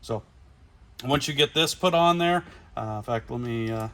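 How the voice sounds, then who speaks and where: A man's voice: mid-range voice, moderate pace, bright, forceful tone. A man presenting a casual, hands-on installation demonstration in plain, informal language, recorded in a garage workshop. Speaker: product installation presenter for a radio company.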